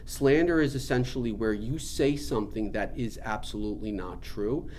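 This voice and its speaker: A man's voice: fairly low pitch, normal speed, a little mellow, slight background noise. A man giving an informal spiritual teaching talk, explaining things in an earnest, emphatic way.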